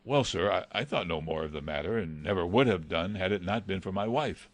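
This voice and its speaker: A deep-toned butler played by a man speaks with anxious respect and formal hesitation. He sounds loyal and pleading, as in a classic mystery story, recorded very dry. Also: animated, fairly quick, dry recording. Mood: hesitant, confiding. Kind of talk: dramatised reading of a classic novel.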